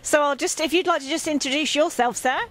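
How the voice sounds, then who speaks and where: A woman's voice: high voice, quick pace, a bit bright. A woman interviewing people at an outdoor event, friendly and laughing often. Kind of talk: casual interview.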